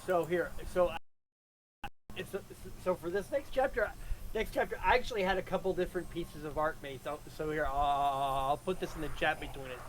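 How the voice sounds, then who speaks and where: Casting: man, animated higher voice, casual stream host who stumbles over repeats, faint noise behind. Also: rough recording. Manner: halting, repeats words. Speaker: streamer, audio drama creator. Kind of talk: casual live stream chat.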